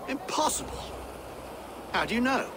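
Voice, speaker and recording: A man's voice: very high voice, big pitch swings, normal speed, noisy background. A man voicing an evasive, self-serving older medieval abbot in a video game, fretful and imperious. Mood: startled disbelief. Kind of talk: video game character acting.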